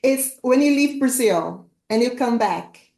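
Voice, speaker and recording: Chatty high-voiced woman host, echoey room. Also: lively intonation, a little slow, dark tone. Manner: chatty, eager, laughs often. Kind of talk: casual online interview.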